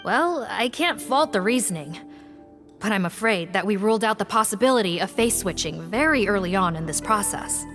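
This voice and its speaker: A woman's voice: medium pitch, animated, moderate pace, a bit bright, faint music behind, natural voice. A woman voicing a polite, formal and skeptical investigator in a video game. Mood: doubtful.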